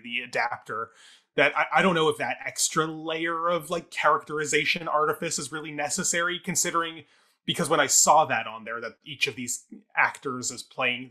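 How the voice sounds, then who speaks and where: Bright-voiced man in casual review discussion. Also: medium pitch. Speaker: theatre review podcast co-host.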